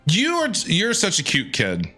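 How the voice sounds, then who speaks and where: A woman's voice: lowish voice, wildly swooping pitch, unhurried, music in the background. A woman doing casual, playful, teasing gameplay reaction commentary. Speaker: streamer.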